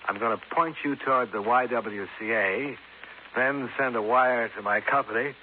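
A man's voice: mid-range voice, moderate pace, natural voice. An American man playing a dogged, hard-boiled insurance investigator in a 1950s radio drama, with a clipped, sardonic delivery. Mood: weary, dry. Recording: noisy, tinny 1950s radio recording.

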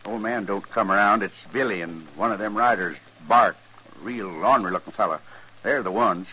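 Husky, stubborn homesteader. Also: male voice, fairly low pitch, normal speed, bright, forceful tone. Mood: defiant. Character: stubborn, wronged frontier homesteader, gruff and defiant. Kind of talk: old-time radio western acting. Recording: dull old radio recording.

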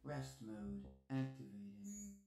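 A man voicing a weary, dutiful robot in an audio drama, making slow, deliberate announcements in a roomy echo. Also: sits high, very animated, vocal fry, pitched above the performer's own voice, full character voice. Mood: fading. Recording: roomy echo with music behind.

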